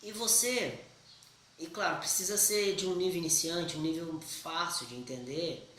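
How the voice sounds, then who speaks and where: A young man from Brazil explaining casually to his viewers, chatty and blunt, often checking in with a quick "right?". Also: on the high side, a bit bright, far from the mic, slight background noise. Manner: chatty, keeps asking "certo?", slangy and blunt. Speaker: vlogger. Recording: echoey room, voice a bit off-mic.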